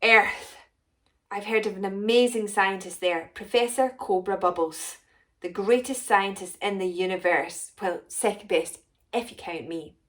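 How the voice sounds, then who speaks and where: A woman voicing a short, rich, excitable female alien potion maker in a children's story, self-important and exclamatory. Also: mid-range voice, measured pace, lightly acted. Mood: panicked, then scheming. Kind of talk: first-person children's story narration.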